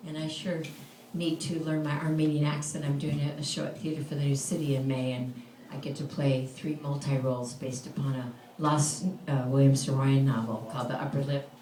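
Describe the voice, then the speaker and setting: A woman's voice: a bass voice, flat delivery, a little fast, warm tone, slight background noise. A woman actor and poet chatting casually on stage between pieces, rambling with many fillers. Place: lounge bar stage. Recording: roomy, distant live venue recording.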